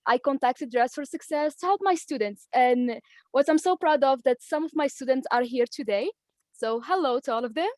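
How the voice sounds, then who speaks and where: A woman's voice: sits high, moderate pace, darkish tone, dry recording, clean studio sound. A woman from Morocco with a Moroccan accent, earnestly and proudly sharing her story as an ambassador at an online meeting. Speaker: ambassador for a women's nonprofit.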